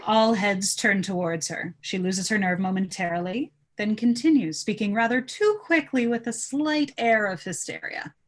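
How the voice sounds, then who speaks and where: Casting: female narrator for an informal script read, medium pitch, reading out stage directions. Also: normal speed, few pauses. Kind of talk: scripted narration in a casual live table read.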